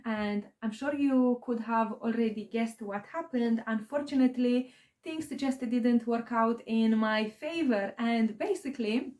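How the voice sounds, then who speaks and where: Woman with Romanian accent, unhurried, rambling, lots of ums, casual vlog chat. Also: a little high, darkish tone. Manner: rambling, many fillers and restarts. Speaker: aspiring novelist vlogger. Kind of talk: casual personal vlog talk.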